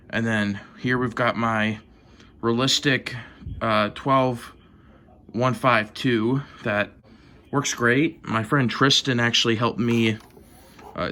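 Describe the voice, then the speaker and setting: Casual, low-key American man showing a collection. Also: bright, forceful tone. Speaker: hobbyist vlogger showing a collection. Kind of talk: casual show-and-tell.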